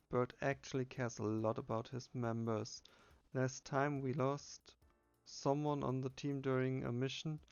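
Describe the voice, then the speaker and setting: Male gamer reading text aloud casually, unhurried creaky delivery, slightly muffled home recording. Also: medium pitch, darkish tone, no room sound. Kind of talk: casual game playthrough with read-aloud dialogue.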